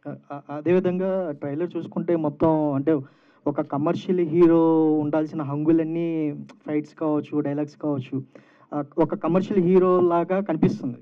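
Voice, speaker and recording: Man, reporter, fairly high pitch, press questioning, phone-like narrow audio. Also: fairly even intonation, moderate pace. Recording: thin, phone-like event audio.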